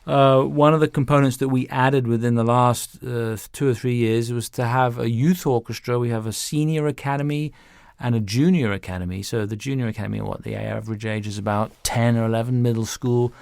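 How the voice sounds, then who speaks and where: A man speaks as an interview guest in a conversational way, with a mid-pitched voice that has a touch of gravel. He phrases things haltingly, doubling back on words as he explains. The sound is dry and close. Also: steady tone, a little mellow, dry recording. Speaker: music director, interview guest.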